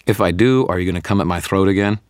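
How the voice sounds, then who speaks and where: Edgy sardonic wizard guy, urgent and pushy, raspy voice pitched a bit up, read by a man. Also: very animated, normal speed, dry recording, clean studio sound, full character voice. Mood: pleading. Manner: urgent, raised, emphatic. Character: stressed, sardonic male wizard facing a monster.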